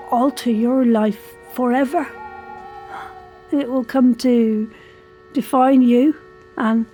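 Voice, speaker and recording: Slow, solemn woman leading ritual. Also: high-pitched, warm tone, low-quality recording. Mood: grave. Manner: hesitant, instructive, grave. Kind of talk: audio drama acting. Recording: music behind the voice.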